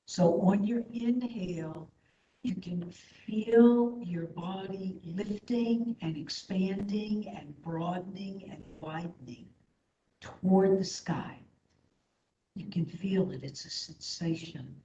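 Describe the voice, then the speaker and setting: A woman leading a guided meditation as an instructor, speaking in a soothing, gentle, unhurried manner. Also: mid-range voice. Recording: echoey room, slightly dull sound.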